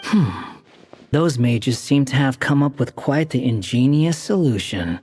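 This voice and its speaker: A woman's voice: extremely low pitch, lively intonation, a little slow, a little mellow. A woman playing a sly, self-assured game character who explains things in a dry, teasing way. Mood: wry. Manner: dry, teasing, matter-of-fact. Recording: game dialogue with long reverb and music.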